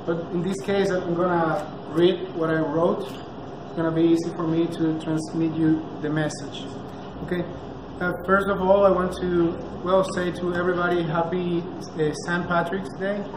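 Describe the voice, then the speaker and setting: A Venezuelan man with a high, flat voice reads a prepared speech off a clipboard, pausing often on uh and restarting words. He stands in a small, echoey cafe, caught by a camera some distance off, with clear room noise behind him. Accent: Venezuelan Spanish. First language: Spanish. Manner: careful, halting, reads from a clipboard, frequent uh and restarts. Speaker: nonprofit founder giving a launch speech. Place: small cafe with big street windows, indoors. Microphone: far camera.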